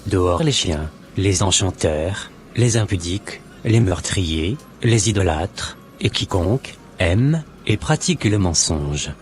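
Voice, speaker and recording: Scripture-quoting church brother in a cartoon played by a man, lowish, music under. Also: lively intonation. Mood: preachy. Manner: slow, preachy, quotes scripture. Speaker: channel co-host.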